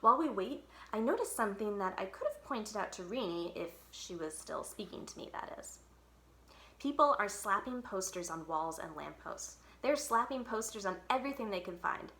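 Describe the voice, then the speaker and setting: A woman's voice: medium pitch, animated, normal speed, bright, forceful tone, echoey room. A woman reading a children's book aloud expressively, leaning on key words with dramatic emphasis. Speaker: library read-aloud storyteller.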